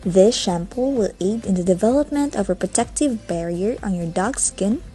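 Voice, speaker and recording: Mellow-voiced woman reading an advert. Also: mid-range voice, expressive, moderate pace, soft background music. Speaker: advert announcer. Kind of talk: scripted commercial voice-over.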